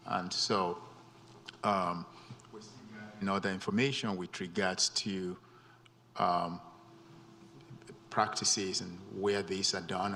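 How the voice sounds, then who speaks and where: Super low monotone guy, gravelly, talking at a town board meeting, echoey chamber with faint hum. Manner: steady, filler-heavy. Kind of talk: council meeting remarks. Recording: reverberant council chamber, faint room noise.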